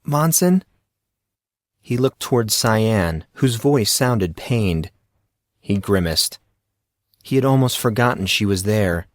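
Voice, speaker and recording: A man narrating scripted fiction as an audiobook, in a measured, dramatic storytelling manner. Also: fairly low pitch, no echo.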